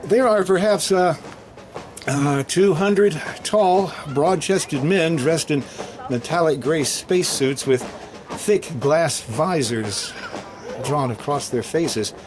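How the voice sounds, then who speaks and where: An actor playing a radio announcer reporting live on a parade in 1950s radio drama style, dramatic and hesitant. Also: male voice, on the high side, slow pace, noisy background. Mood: solemn, awed.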